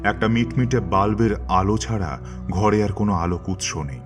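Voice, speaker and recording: A man with a very deep voice tells the story in a measured, dramatic way. Clear music plays behind the narration.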